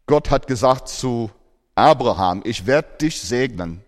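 Australian-born preacher in a reverberant hall. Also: male voice, mid-range voice, animated, a little slow. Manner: earnest, speaks German with non-native grammar slips. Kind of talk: sermon.

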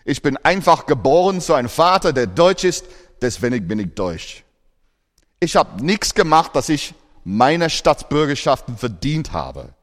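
A man's voice: on the high side, sing-song, measured pace, big-room sound. A man born in Australia preaching a sermon in German, earnest, with grammar slips.

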